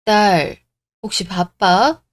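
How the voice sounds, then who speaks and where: A woman's voice: mid-range voice, extremely slow, dry recording, clean studio sound. A woman voicing a caring, hesitant middle-aged mother who runs a restaurant, in a radio story drama. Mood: uneasy.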